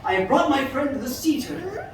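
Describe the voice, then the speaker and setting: A woman's voice: sits high, very animated, moderate pace, a little hiss or hum, pitched above the performer's own voice. A woman voicing a vain, pompous male eagle who speaks grandly. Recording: echoey room, mic far off.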